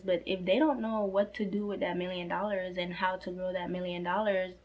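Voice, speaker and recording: A woman in her twenties with a slightly hoarse voice, talking earnestly and casually to camera about personal finance, leaning on fillers like "like" and "you know". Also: mid-range voice, lo-fi sound. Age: 20s.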